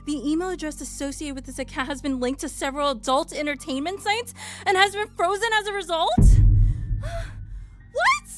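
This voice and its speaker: A woman's voice: sits high, lively intonation, a little fast, music in the background. An actress playing an earnest, easily indignant young woman entrepreneur in a naturalistic comedy-drama podcast. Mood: frustrated disbelief.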